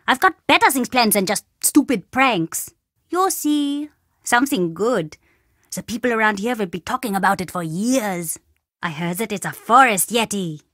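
Mischievous little boy for a video game: chatty, cheeky, animated delivery, dry close sound. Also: on the high side, dry recording. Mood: secretive, boastful, then storytelling. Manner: cheeky, dramatic, childlike bluster. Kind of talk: video game character dialogue.

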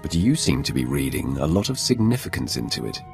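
An actor playing a powerful, cool and aloof Sith Lord in a video game, speaking in a measured, commanding way. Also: male voice, extremely low pitch, sing-song, vocal fry, soft background music, exaggerated voice. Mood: dry, cool. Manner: measured, authoritative, imperious.

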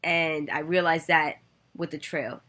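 Woman in her thirties, low voice, earnest and reflective vlogger, webcam in a bedroom. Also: unhurried, pushed and bright. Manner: earnest, reflective, with many "you know" fillers. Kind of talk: personal storytelling to camera. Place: bedroom, indoors. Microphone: webcam at desk distance.

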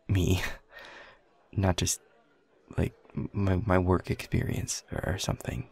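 Casting: male actor as bashful young office newcomer with a crush, low husky fry, halting and self-deprecating, audio roleplay. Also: a little mellow, full character voice. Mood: shy, sincere. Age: young adult. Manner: hesitant, flustered, stammering, nervous chuckles. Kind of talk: intimate audio roleplay, one side of a conversation.

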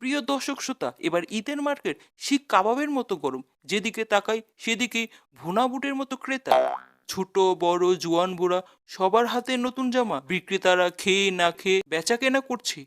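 A woman's voice: medium pitch, expressive, brisk, close to an everyday voice. A woman voicing a bombastic, comic market promoter in a cartoon parody of a TV report.

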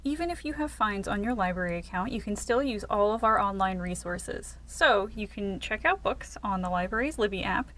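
A woman's voice: mid-range voice, lively intonation, moderate pace, few pauses, fairly bright tone. A woman in her thirties presenting a library tour as a children's librarian, enthusiastic and emphatic. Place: indoors in a public library.

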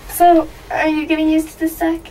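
Jittery teen girl, high and far from the mic. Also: monotone, brisk, warm tone. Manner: nervous, keeps telling herself to look at the floor. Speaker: older kid on a family trip. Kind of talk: casual chat. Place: outdoors in a gondola on a mountain. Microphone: camcorder a few feet away. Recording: distant camcorder audio with wind noise.

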